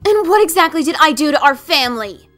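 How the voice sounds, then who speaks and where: A woman playing an adventurous pegasus pony author in a fan dramatic reading, emphatic and defensive, halting when awkward. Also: sits high, sing-song, a bit bright, soft background music. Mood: indignant.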